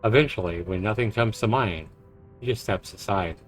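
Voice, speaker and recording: Visual novel lead: male actor as a low, wry, introspective man, guilt-ridden, with music underneath. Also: moderate pace, natural voice. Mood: pensive. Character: brooding, guilt-ridden man with a dry charm. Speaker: narrating protagonist. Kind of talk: visual novel voice acting, introspective narration and dialogue.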